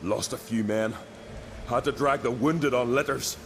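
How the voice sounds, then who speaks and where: An actor playing a loyal, hot-headed young island warrior in a video game, with an earnest, colloquial way of telling a tale. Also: male voice, mid-range voice, a little slow, a little hiss or hum, close to an everyday voice. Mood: rueful, annoyed. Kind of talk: dramatic video game voice acting.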